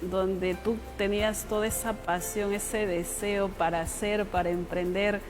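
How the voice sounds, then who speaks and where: A woman's voice: mid-range voice, flat delivery, unhurried, music in the background. A woman hosting a podcast interview in a conversational way, attentively summarizing and prompting her guest.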